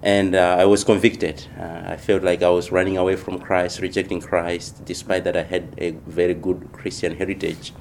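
A man from Malawi, a missionary church planter, answering interview questions in a thoughtful, unhurried way with many pauses. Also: sits low, flat delivery, darkish tone, slight background noise.

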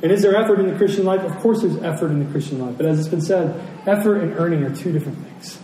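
Male pastor, high-pitched and mellow, delivering an earnest sermon with deliberate repetition. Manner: earnest, repeats key phrases for emphasis. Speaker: pastor preaching. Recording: roomy, distant pickup with faint noise.

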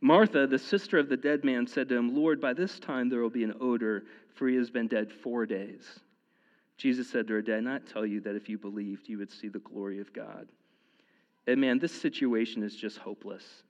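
A man's voice: medium pitch, normal speed, long reverb tail, muffled recording. A man in his thirties preaching a sermon in a casual, conversational way, often restarting his sentences and using fillers. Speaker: pastor.

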